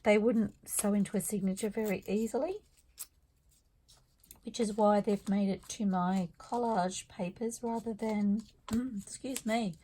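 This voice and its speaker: A woman losing her voice, talking quietly and thinking aloud as she works on a craft project in her studio. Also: mid-range voice, steady tone, unhurried, slight background noise, low-quality recording. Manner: quiet, murmured asides to herself while working. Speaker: craft vlogger. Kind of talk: soft-spoken craft-along commentary, thinking aloud.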